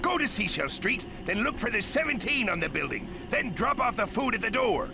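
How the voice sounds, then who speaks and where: A man voicing a blustery, money-minded older crab boss in a cartoon video game, gravelly, hearty and bossy, with sailor-like talk. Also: sits high, big pitch swings, fairly quick, over music, heavily acted. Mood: bossy, brisk.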